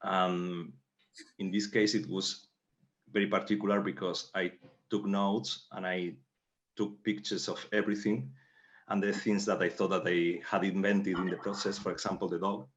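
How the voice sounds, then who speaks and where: Low, even-toned Spanish-accented man. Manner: halting, searching for words. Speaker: playwright on a panel. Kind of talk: reflective interview answers. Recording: dull, lo-fi audio.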